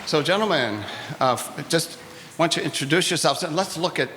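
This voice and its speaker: A man's voice: fairly high pitch, a little fast, a bit bright. A man moderating a live community workshop, chatty and joking, with many restarts. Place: community hall, live event. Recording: long hall reverb, faint noise.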